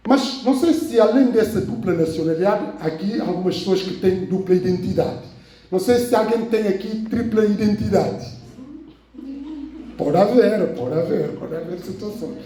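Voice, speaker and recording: A man's voice: sits high, normal speed, warm tone. A man from Guinea-Bissau with a Guinea-Bissau Portuguese accent, preaching a sermon in a rambling way, repeating phrases and saying "pronto" often. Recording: very echoey room, voice from far off.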